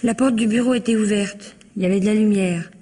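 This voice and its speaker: An actress playing a cheeky, chatty twenty-two-year-old housemaid, defensive and plain-spoken, in an old French radio mystery. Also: female voice, medium pitch, fairly even intonation, fast talker, warm tone, lightly acted. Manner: colloquial, chatty, naive frankness.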